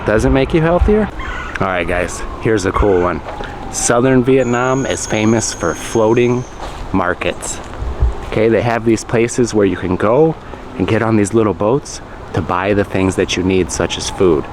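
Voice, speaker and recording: An American man with a fairly low voice talks slowly and plainly. He pauses between bites of food and stops to explain words for learners. Traffic and street noise hum steadily behind him. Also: expressive. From United States. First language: English. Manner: slow, deliberate, explains words as he goes. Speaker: language teacher and vlogger. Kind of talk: slow, clear English lesson vlog.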